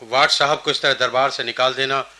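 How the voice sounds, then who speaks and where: A man's voice: mid-range voice, fairly even intonation, fairly quick, pushed and bright. An actor playing a deferential, cautious raja advising his ruler in a historical radio drama, speaking formally and carefully. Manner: formal, careful courtly speech. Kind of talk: theatrical Hindi radio historical drama acting.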